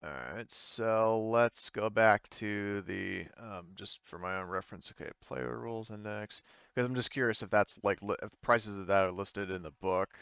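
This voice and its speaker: A man's voice: lowish voice, moderate pace, creaky, a bit bright, clean tone, no room sound, lo-fi sound. A man narrating an improvised tabletop game as game master, tentative and often thinking aloud. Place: indoors, online voice call.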